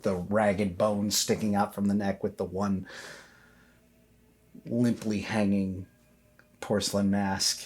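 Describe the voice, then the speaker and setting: A man working as game master, narrating a horror tabletop game in an improvised, descriptive, halting way. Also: fairly low pitch, level tone, darkish tone.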